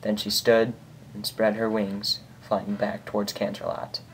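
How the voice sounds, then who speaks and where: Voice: low-pitched, little pitch movement, measured pace, a little hiss or hum, poor audio. An amateur reader and host narrating fan fiction in an informal, emotive way. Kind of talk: amateur story narration with casual reaction.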